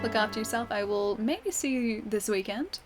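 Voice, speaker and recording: A woman's voice: a little high, lively intonation, normal speed, over loud music. A woman from Western Australia with an Australian accent, chatting casually with viewers while she plays a game, often laughing and answering chat. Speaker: streamer.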